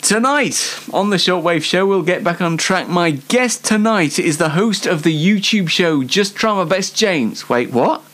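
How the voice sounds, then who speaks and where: Male host, aged twenty, unhurried and very animated, playfully theatrical, self-deprecating comedic chat. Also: sits high. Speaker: host of a YouTube show. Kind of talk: chatty comedic monologue.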